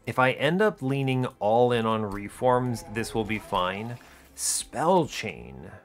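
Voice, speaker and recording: Male gaming host, mid-pitched, reasoning through each move deliberately, with music behind him. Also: very animated. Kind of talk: strategy game commentary, thinking aloud.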